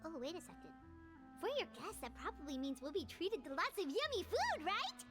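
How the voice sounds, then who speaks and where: A woman voicing a tiny, excitable female companion creature in a video game who speaks of herself in the third person. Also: very high-pitched, brisk, over music. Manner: bubbly, jumpy, talks about herself in third person.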